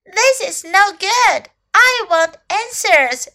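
A woman voicing a curious, excitable young male elephant in a storybook, eager and full of exclamations. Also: very high-pitched, takes it slowly, pitched above the performer's own voice, full character voice. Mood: insistent, eager.

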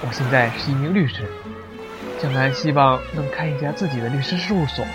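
A man acts out a bewildered stranger in his thirties for a radio drama. He speaks earnestly and hesitantly at a middling pitch, with music clearly playing underneath. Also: a little fast, natural voice. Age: 30s. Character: bewildered thirty-year-old male lawyer, a stranger from another world. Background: background music.

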